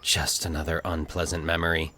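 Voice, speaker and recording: A man's voice: a bass voice, level tone, fairly quick, bright, forceful tone, a little hiss or hum, pitched below the performer's own voice. A man voicing a grieving man who talks to himself, wistful but resolute, in an audio story. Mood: wistful, resigned. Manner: muttering, musing to himself.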